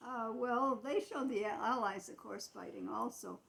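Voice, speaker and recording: A woman's voice: fairly high pitch, a little slow, vocal fry, fairly bright tone. An American woman in her eighties telling childhood memories to family in a casual, explanatory, matter-of-fact way. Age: 80s. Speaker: grandmother recalling her childhood.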